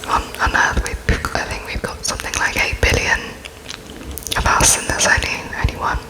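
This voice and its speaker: A person whispering as an interviewer in an ASMR roleplay, attentive and softly inquisitive, with halting phrases as if writing notes while speaking. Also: poor audio. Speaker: interviewer noting down answers.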